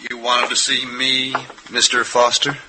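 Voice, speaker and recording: A man's voice: mid-range voice, completely flat delivery, unhurried, noisy background, close to an everyday voice. Man playing a deferential young accountant. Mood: polite, guarded. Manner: deferential, polite. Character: young male accountant, polite and evasive employee.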